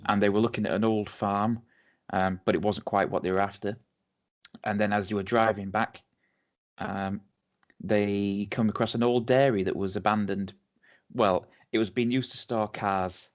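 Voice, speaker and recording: A man's voice: on the low side, fairly even intonation, moderate pace. A man with an English accent, co-hosting a podcast and telling a distillery's story in a rambling, chatty way. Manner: chatty, rambling with many ums and restarts. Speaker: podcast co-host. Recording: dull lo-fi audio.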